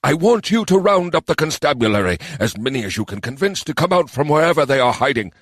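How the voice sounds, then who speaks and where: A man's voice: on the high side, very animated, fast talker, no echo, clean studio sound, pitched above the performer's own voice. A man voicing a scheming, irritable goblin mayor in an audiobook, grumbling and giving orders. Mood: scheming, commanding. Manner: grumbling, commanding. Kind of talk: audiobook character voice.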